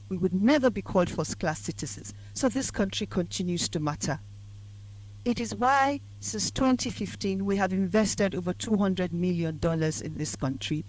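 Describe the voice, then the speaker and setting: Hoarse woman giving a lecture. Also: medium pitch, darkish tone, low-quality recording. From Nigeria. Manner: measured and emphatic, building to points. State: croaky, hoarse voice. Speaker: guest speaker at a public lecture. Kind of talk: formal lecture speech. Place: lecture event.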